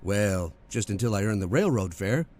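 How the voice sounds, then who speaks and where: An actor playing an easygoing, kind-hearted drifter in an old-time radio drama, wry and a little sheepish. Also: male voice, lowish voice, animated, moderate pace, darkish tone, close to an everyday voice.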